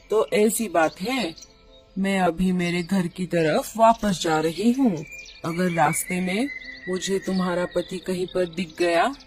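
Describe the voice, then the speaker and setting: A motherly, shrewd old female bird from a cartoon, acted by a woman. She speaks slowly at a middle pitch, instructing and reassuring, with music behind her. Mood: caring, advising. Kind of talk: cartoon dialogue. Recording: music under the voice.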